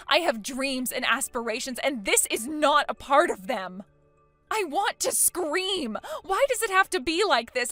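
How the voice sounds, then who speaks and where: A woman's voice: high voice, fairly bright tone, no echo. A woman voicing a spoiled, vain woman in her late twenties in acted story dialogue, dramatic, whiny and indignant. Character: spoiled, vain, panicky 29-year-old woman.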